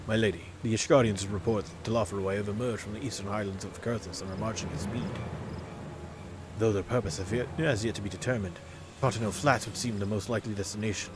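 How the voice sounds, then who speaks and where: A man's voice: fairly low pitch, fairly even intonation, quick pace, noisy background, close to an everyday voice. A man reading as a dutiful military aide in a fantasy game, giving a formal report to his lady. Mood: urgent, formal.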